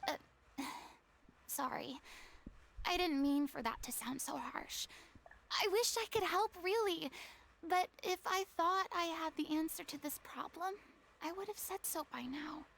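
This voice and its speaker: A high-voiced, theatrical retired stage diva. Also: female voice, unhurried, gravelly, a bit bright, exaggerated voice. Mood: exasperated, apologetic. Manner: theatrical, formal phrasing, self-deprecating. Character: proud retired stage star, weary, theatrical young woman. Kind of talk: video game voice acting.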